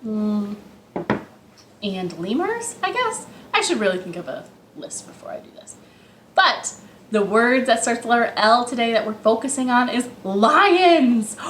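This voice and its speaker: Storytime host: woman, slow-paced, playful and rambling with young children, recorded in a reverberant living room. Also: a little high, animated, fairly bright tone, a little hiss or hum. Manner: playful, chatty, rambling asides.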